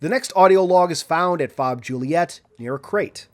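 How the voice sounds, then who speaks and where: Higher-pitched man narrating a game guide over music. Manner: measured, orderly, instructional. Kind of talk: scripted walkthrough narration. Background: background music.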